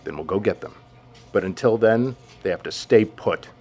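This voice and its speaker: A man's voice: lowish voice, normal speed, a little mellow. A man playing a formal, pragmatic army general in an audio drama, speaking with clipped authority. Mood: firm.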